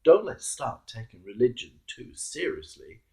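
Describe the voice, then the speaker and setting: A British man preaches a reflective sermon in a dark, relaxed voice with a gravelly edge. He moves slowly and earnestly through stories and searching questions, measuring out each phrase. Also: mid-range voice. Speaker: clergyman giving a Holy Week reflection.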